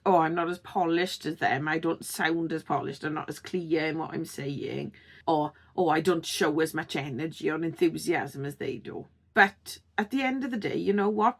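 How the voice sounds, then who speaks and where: A middle-aged woman with a strong Welsh accent, talking straight to camera as the host of her own tips channel in an encouraging, conversational way. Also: lowish voice. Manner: encouraging, conversational, checks in with "okay?". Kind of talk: direct-to-camera tips video.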